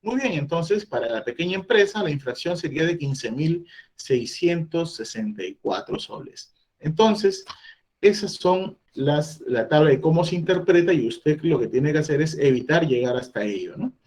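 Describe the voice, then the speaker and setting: A man's voice: on the high side, lively intonation, moderate pace, darkish tone. A middle-aged man giving a training talk on labor inspections, explaining step by step and voicing the questions an employer might ask. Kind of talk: online training presentation.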